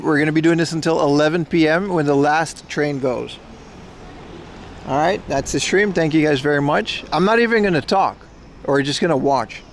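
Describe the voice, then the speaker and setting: A man livestreaming outdoors by a railway platform, chatting casually with viewers and teasing them in mock insults. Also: a little high. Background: faint trains and city traffic.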